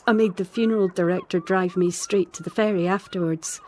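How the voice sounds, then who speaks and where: A woman acting in an audio drama as a troubled, sensitive island woman, earnest and expressive, with a Scottish accent. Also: fairly low pitch, brisk, a little hiss or hum, close to an everyday voice. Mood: guilty, subdued.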